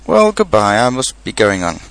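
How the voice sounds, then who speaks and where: A man's voice: lowish voice, huge pitch swings, moderate pace, clear, resonant tone. A man voicing a greedy, anxious, muddled toy bear from a storybook, plaintive and earnest. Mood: hopeful, polite. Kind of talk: storybook character reading.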